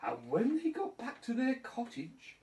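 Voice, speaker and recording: A woman's voice: on the high side, wildly swooping pitch, measured pace, mellow, distant mic. A woman voicing a genial teddy bear, reading a comic storybook conversationally in a puppet show. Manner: conversational, jokey.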